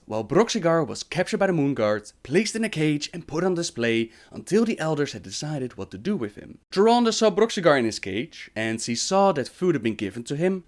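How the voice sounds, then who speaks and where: A man hosting and narrating scripted game lore as a storyteller, starting sentences emphatically and letting the endings fall away. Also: fairly high pitch. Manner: emphatic sentence openings, trailing falling endings. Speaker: video narrator and host.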